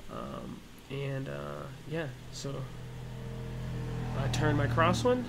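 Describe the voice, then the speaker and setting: A man's voice: on the high side, huge pitch swings, drawn-out words, creaky, heavy background noise. A man giving a casual flight simulator tutorial, explaining each step in an offhand, hesitant way. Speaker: gaming tutorial host.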